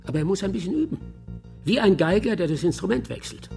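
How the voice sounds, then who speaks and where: An actor playing a self-assured, coolly clinical professor of surgery in a radio crime drama, precise and matter-of-fact. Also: male voice, high-pitched, big pitch swings, quick pace, gravelly, warm tone, soft background music, full character voice. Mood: clinical, confident. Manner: matter-of-fact, precise, unfazed by gruesome detail.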